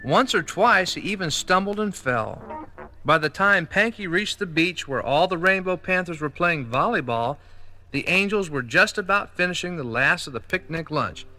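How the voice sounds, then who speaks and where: Bright-voiced storybook narrator man. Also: fairly high pitch. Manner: clear, storybook delivery. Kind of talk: 1980s children's read-along narration. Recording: vintage vinyl record with faint music.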